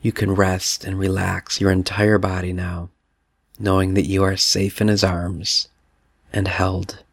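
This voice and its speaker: Slow gravelly-voiced man guiding meditation. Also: low-pitched, little pitch movement, no room sound, clean studio sound. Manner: slow soothing second-person guidance. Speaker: meditation guide. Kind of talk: guided prayer meditation.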